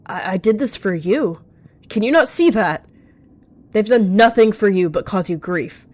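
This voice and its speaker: A woman's voice: sits low, a little slow. A woman voicing an evil rogue cat, intense, possessive and scornful, in a roleplay drama over music. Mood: desperate, possessive.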